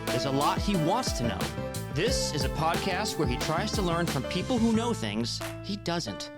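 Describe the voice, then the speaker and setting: A woman's voice: fairly low pitch, very animated, normal speed. A woman reading a scripted promotional introduction as a podcast announcer. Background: loud music with guitar.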